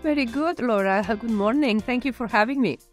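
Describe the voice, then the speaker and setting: A middle-aged woman from Spain with a Spanish accent, a veterinary professor answering interview questions in an animated way with frequent fillers. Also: fairly high pitch, normal speed, faint music behind. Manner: animated, thinks aloud with fillers.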